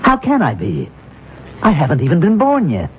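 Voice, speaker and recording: A disoriented husband of about forty in a radio play. He is earnest and halting, with very mobile pitch, on a tinny, hissy vintage recording. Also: wildly swooping pitch. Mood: bewildered, insistent. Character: bewildered, disoriented husband, a man of forty-one. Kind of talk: radio drama acting.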